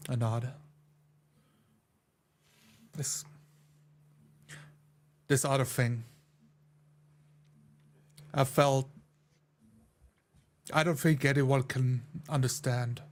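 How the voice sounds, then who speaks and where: A man's voice: mid-range voice, flat delivery, very slow, pauses often. A man playing a tabletop roleplay game, speaking restrained, hesitant lines in character and narrating his actions. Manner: hesitant, restrained, broken phrases.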